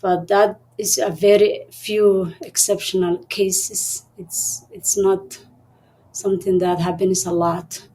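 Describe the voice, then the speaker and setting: A woman from Somalia, speaking as an interview guest in a soft-spoken, thoughtful way with pauses and restarts. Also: medium pitch, little pitch movement, rough recording. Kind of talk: interview conversation.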